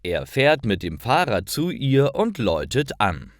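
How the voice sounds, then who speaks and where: A man narrating a scripted story for language learners, enunciating carefully and deliberately. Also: medium pitch, very animated, no echo, studio quality.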